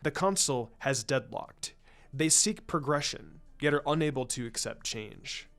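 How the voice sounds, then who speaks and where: A man voicing a formal, proud clan patriarch and father in a story reading, speaking in a guarded, measured way. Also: medium pitch, lively intonation, gravelly, a bit bright. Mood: formal, reserved. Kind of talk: fan fiction story reading. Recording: music under the voice.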